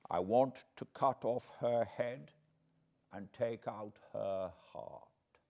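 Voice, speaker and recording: A man voicing an elderly foreign professor from a classic novel, solemn and fatherly, with quaint, deliberate phrasing. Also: mid-range voice, creaky, dull audio. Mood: secretive, grave. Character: elderly foreign male professor, grave, tender, paternal, eccentric.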